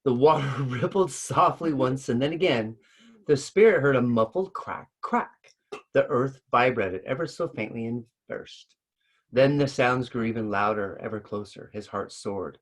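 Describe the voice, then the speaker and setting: A man's voice: medium pitch, a little slow. Man reading a storybook aloud. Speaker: story reader. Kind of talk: expressive storybook narration.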